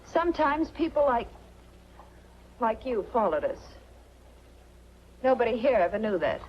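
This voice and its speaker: A woman's voice: a little high, sing-song, measured pace. An American actress playing a guarded, wistful young woman with a secret past in a 1940s film noir. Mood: wistful, confiding. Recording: muffled, noisy 1940s film soundtrack.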